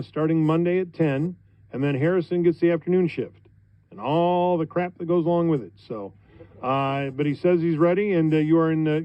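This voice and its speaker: Higher-pitched middle-aged American man, radio host. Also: dry recording, lo-fi sound. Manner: conversational, halting. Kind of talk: informal on-air thank-you speech. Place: radio studio.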